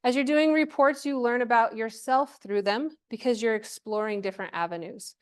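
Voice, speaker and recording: A woman's voice: fairly high pitch, moderate pace, clear, resonant tone. A woman giving an informal workshop presentation to a live group, chatty and encouraging, often checking in with questions.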